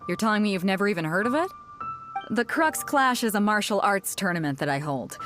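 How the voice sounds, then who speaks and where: A woman's voice: medium pitch, close to an everyday voice. A woman voicing a boisterous, confident female ship captain in a game cutscene, brash and teasing.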